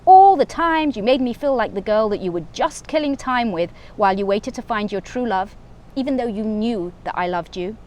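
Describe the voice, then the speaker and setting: A woman voicing a hurt, composed young woman who lists old grievances in a pointed, measured way. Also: on the high side, animated, darkish tone, close to an everyday voice. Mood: reproachful.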